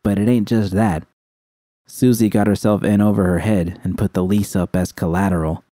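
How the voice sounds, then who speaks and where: A man's voice: on the low side, steady tone, normal speed, warm tone, no room sound, close to an everyday voice. A man voicing a tough, worried woman gang member, slangy and conspiratorial, in audiobook dialogue. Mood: grave, confiding.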